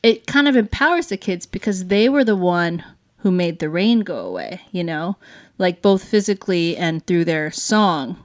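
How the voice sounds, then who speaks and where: Woman, slightly low voice, informal co-host explaining things conversationally in loose, everyday phrasing. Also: big pitch swings, normal speed. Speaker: show co-host, parent.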